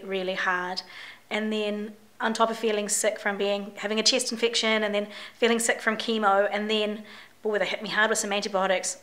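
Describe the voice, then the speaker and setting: A middle-aged woman from New Zealand with a New Zealand accent, talking candidly in a personal video diary. Her voice is a bit croaky, and she rambles with fillers and restarts. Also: medium pitch, a bit bright. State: croaky voice from a chest infection. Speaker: vlogger.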